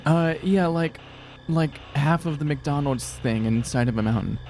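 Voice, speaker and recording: A man's voice: a little high, a little slow, a little mellow, a little hiss or hum. Sardonic young man gaming. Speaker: tabletop game player. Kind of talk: casual improvised game chat.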